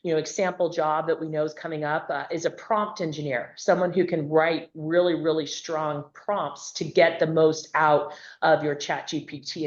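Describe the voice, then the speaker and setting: A woman's voice: deep voice, muffled recording. A woman moderating a webinar panel over a video call, asking questions in a loose, conversational way with fillers.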